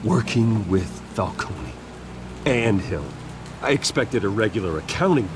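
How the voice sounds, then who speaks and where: A man's voice: fairly low pitch, unhurried, noisy background. An actor playing a troubled, wealthy male heir in a video game, earnest and intent as he demands answers. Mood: hurt, sober. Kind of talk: dramatic video game dialogue. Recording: steady rain and street ambience behind. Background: rain.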